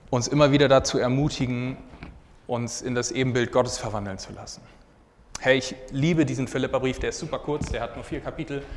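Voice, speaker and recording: A man in his twenties preaching a sermon and sharing his own testimony in an earnest, casual way. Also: medium pitch. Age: 20s. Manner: earnest, casual, often says "hey". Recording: hall reverb, faint background noise.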